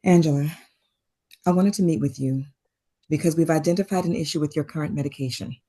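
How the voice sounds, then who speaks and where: A woman acts a measured clinical doctor in a drama. Her voice is low and dark, her manner composed and apologetic, and the room echoes around her. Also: fairly even intonation, close to an everyday voice. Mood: careful, clinical. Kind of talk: dramatic table read. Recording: roomy, echoing remote table-read audio.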